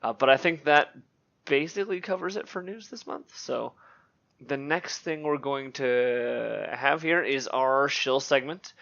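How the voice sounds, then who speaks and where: Male podcast host, bright forward voice, casual delivery, slightly muffled lo-fi audio. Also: mid-range voice, a little slow, vocal fry.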